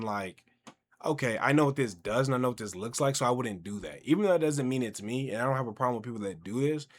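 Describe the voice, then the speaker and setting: A young man hosting a casual reaction commentary, talking in an animated, conversational, slangy way. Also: medium pitch. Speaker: reaction video host.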